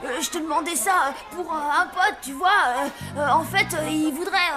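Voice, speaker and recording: A woman's voice: sits high, moderate pace, over music, lightly acted. A woman voicing an awkward, mischievous young zombie boy in a cartoon dub. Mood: flustered.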